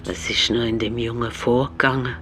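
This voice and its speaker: An actor playing a storyteller who muses on a troubled childhood in plain, colloquial words for a radio play. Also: male voice, medium pitch, steady tone, normal speed, pushed and bright, natural voice. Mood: reflective, sad. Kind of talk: dramatised narration in a radio play. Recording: music clearly underneath.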